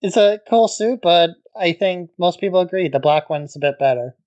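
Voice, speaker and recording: A man co-hosting a podcast, talking in a relaxed way with wry asides. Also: sits high, moderate pace, highs cut off. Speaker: podcast co-host. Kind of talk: casual podcast discussion.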